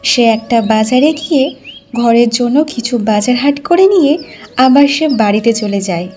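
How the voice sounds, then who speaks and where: A woman's voice: fairly high pitch, normal speed, over music. A woman reading scripted narration for a cartoon folk tale as the storyteller.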